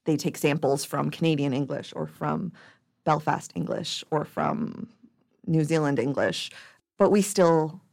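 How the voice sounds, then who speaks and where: A woman's voice: low voice, animated, a little slow, vocal fry, darkish tone. A woman co-hosting a podcast, explaining at length in casual chat and restarting sentences as she thinks aloud. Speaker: podcast co-host.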